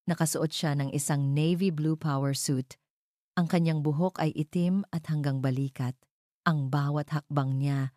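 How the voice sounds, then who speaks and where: A woman narrating a dramatic scripted story in the first person, in measured, deliberate short phrases. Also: low voice, dark tone, no room sound, clean studio sound.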